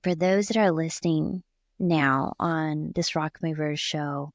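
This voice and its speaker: A woman's voice: low voice, fairly even intonation, takes it slowly, dry recording, clean studio sound. A woman hosting a conversational podcast interview, speaking in an effusive, affirming way. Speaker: podcast host.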